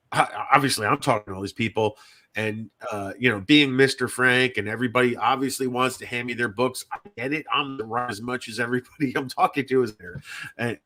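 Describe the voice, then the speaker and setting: A man's voice: mid-range voice, pushed and bright. A man hosting a podcast in casual chat, talking in a rambling, repetitive, sweary and self-deprecating way. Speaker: podcast host and indie fiction writer.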